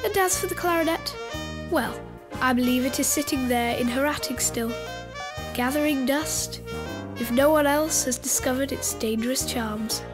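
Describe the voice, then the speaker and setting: A woman's voice: high-pitched, normal speed, lightly acted. A female performer playing the storyteller who closes a children's radio play with scripted narration. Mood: measured storytelling. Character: storyteller closing a children's tale. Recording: music under the voice.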